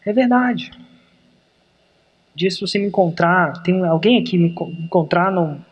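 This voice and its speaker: A middle-aged man from Brazil hosting a podcast, teaching in an energetic, emphatic way, slowing down hard to stress points. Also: high voice, lo-fi sound. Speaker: podcast host. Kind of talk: animated business coaching talk.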